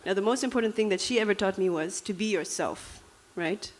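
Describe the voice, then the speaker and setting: A young woman from Namibia speaks with a Dutch accent. She gives a personal talk to an audience in a reflective, conversational way, often pausing on small fillers. Her pitch sits in the middle range for a woman.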